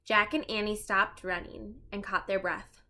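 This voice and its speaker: A woman doing an expressive storybook read-aloud for children, addressing listeners as friends and explaining as she goes. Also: medium pitch, unhurried.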